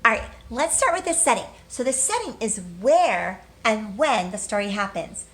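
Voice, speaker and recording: A woman's voice: on the high side, expressive, moderate pace. A woman teacher leading a read-aloud lesson for young children in simple, encouraging language, asking questions and answering them herself.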